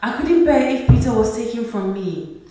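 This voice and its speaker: A woman's voice: fairly high pitch, lively intonation, moderate pace, mellow, close to an everyday voice. A woman playing a devout, long-suffering wife and mother in a radio play, speaking earnestly and with emotion. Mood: fervent praying. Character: devout, long-suffering working-class wife and mother, pleading yet firm. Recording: very echoey room, distant microphone.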